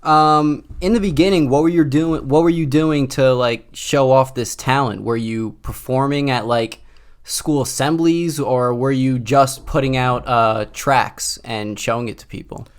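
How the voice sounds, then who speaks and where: A man's voice: medium pitch, unhurried. A man hosting a casual podcast interview, asking long questions and searching for words as he goes. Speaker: podcast host.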